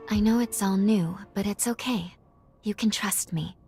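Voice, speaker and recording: Actress as gentle young game heroine-guide, female, earnest and formal, soft-spoken, music underneath. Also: medium pitch, unhurried, close to an everyday voice. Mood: kind, reassuring. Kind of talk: video game cutscene acting and narration. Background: background music.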